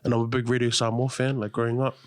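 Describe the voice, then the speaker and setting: A man's voice: mid-range voice, little pitch movement, quick pace, darkish tone, no echo. A man, chatting casually as a podcast guest in a laid-back, slangy way. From New Zealand. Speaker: podcast guest, radio host. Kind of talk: casual chat.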